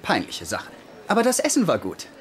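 An actor playing a confident, easygoing young doctor with a breezy manner in a German-dubbed TV comedy. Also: male voice, fairly high pitch, wildly swooping pitch, a little fast. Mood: cheerful. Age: young adult. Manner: breezy, encouraging.

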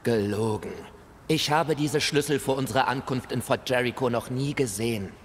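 An actor playing the embittered, polite ghost of a colonial shop clerk, with formal, theatrical delivery in a video game. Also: male voice, mid-range voice, normal speed, natural voice. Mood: earnest, bitter. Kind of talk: video game dialogue acting. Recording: hall reverb, noisy ambience.

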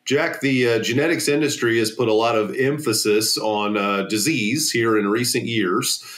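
Male podcast host, medium pitch. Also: moderate pace, a bit bright. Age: middle-aged. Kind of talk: podcast hosting, introducing and questioning a guest. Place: home office indoors. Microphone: video call.

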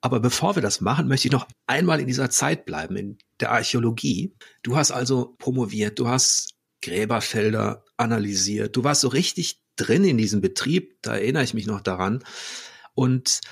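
A man in his forties hosting a podcast, asking questions in a relaxed, chatty way with frequent ums. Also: mid-range voice, moderate pace, creaky, husky. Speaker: podcast host. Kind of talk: casual interview conversation.

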